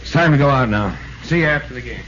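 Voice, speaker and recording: A man's voice: medium pitch, expressive, quick pace, a bit bright, lightly acted. A man plays a big American ballplayer in an old-time radio drama. He is loyal, stubborn and plain-spoken, talking in period slang. The recording is muffled and slightly noisy. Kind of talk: 1940s radio acting.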